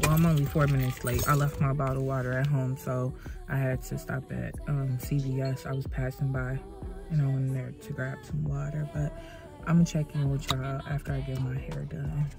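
A woman's voice: extremely low pitch, level tone, normal speed, gravelly, music in the background. A young woman chatting casually and informally to her viewers as a vlogger, with emphatic delivery. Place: inside a car. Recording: car heater and road noise behind.

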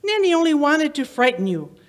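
Casting: actress as panicky young mother, old radio melodrama, very high voice, pleading and remorseful. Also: big pitch swings, a little fast. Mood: frightened, distraught.

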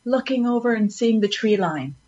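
A woman's voice: fairly high pitch, animated, moderate pace, noisy background. A woman chatting casually as a panelist on a podcast. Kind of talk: casual chat. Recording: dull, lo-fi audio.

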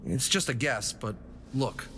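A man playing an adventurous, quick-thinking fox explorer in an audio drama, with an excitable, earnest manner. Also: mid-range voice, slight background noise. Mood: thoughtful, intrigued. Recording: loud music under the voice.

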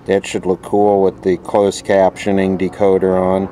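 Male repair vlogger, lowish pitch, unhurried, thinking aloud in casual mutters, faint background noise. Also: poor audio. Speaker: host repairing vintage electronics on camera. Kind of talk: casual off-the-cuff repair commentary. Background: steady background noise.

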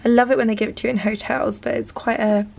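A young woman in her twenties vlogging to a handheld camera in a small bedroom, chatty and enthusiastic, her voice husky because she has lost it. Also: on the high side, level tone, fast, a little mellow. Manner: chatty, enthusiastic, lots of "really, really". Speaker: lifestyle vlogger. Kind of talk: casual vlog to camera. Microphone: handheld camera at arm's length.

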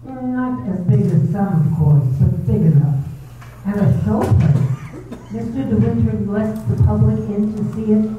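A cold, resentful housekeeper acted by a woman in a radio play, stiff and deliberate in her deference. The sound is thin and phone-like, in a very echoey space, picked up from a distance. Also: medium pitch, natural voice. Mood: coolly formal. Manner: formal, deliberate, coolly deferential. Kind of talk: readers-theater staging of a 1938 radio drama. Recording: roomy, thin, distant, faintly noisy stage recording.

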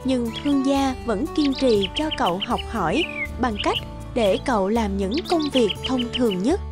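A woman narrates a children's fairy tale in a high-pitched voice. Her reading is scripted storytelling, with music playing underneath. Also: expressive, normal speed.

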